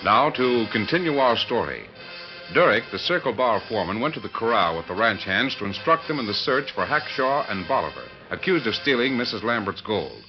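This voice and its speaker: A man's voice: lowish voice, sing-song, brisk. A man narrating a radio western in a formal, dramatic announcer style. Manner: formal, crisp storytelling delivery. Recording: muffled old radio recording. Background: music.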